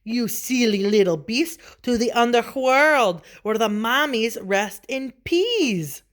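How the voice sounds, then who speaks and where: A woman voicing lively little male Chihuahuas in a storybook, speaking emphatically and peppering in Spanish words. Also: a little high, slow, voice pushed up. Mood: emphatic.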